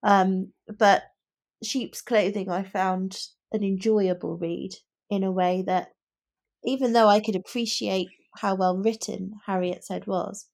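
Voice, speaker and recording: A woman chats casually about books as a podcast co-host. Her voice sits at an average female pitch. She is reflective and tentative, working out her opinion as she speaks. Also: steady tone, unhurried, vocal fry, a little mellow. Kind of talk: casual book discussion.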